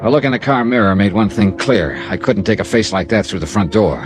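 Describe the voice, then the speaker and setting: An actor playing a wisecracking, hard-boiled insurance investigator with an American accent, wry and clipped, in an old-time radio detective drama. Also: male voice, on the low side, animated, fairly quick, over music, natural voice. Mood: wry narrating. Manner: wry, clipped, tough-talking.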